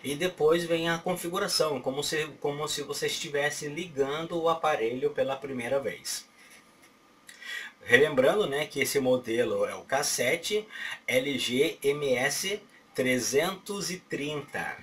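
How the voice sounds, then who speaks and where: A man's voice: fairly high pitch, fairly bright tone. A middle-aged Brazilian man with a Brazilian Portuguese accent, walking through a phone setup in a loose, rambling way, often checking in with "right?". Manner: rambling, fills pauses with "certo?" and mouth sounds like "pá, pá". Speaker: tech tutorial host. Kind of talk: casual how-to walkthrough. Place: small home office at a desk. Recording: distant webcam mic with faint room noise.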